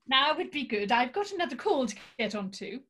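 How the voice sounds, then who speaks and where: A woman's voice: high-pitched, big pitch swings, brisk, bright, forceful tone, full character voice. A woman playing a cynical, impatient former card reader in a stage scene, with dry delivery. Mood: impatient, wry.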